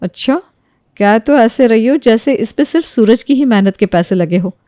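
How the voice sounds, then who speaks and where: A woman's voice: fairly high pitch, expressive, moderate pace, mellow, no echo, studio quality, lightly acted. A woman playing an easily provoked, stubborn married daughter in a Hindi audio story, speaking emphatically. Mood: indignant. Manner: emphatic, indignant.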